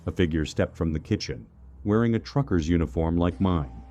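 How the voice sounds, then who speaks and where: A man with a very low voice narrates a scary first-person tale. He delivers it in measured, clipped phrases that hold the suspense. Soft music plays underneath. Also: lively intonation, lo-fi sound. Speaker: horror story narrator.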